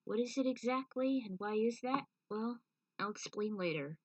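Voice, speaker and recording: A woman's voice: on the high side, lively intonation, normal speed, darkish tone, no room sound, far from the mic. A woman giving an author's commentary on her own fan fiction story.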